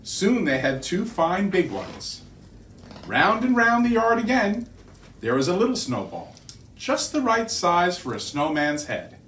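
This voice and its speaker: A middle-aged man reading a children's storybook aloud in an expressive, deliberate storytelling manner. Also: high voice, slight background noise. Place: living room by a fireplace, indoors. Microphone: distant camera. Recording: roomy living room, distant mic.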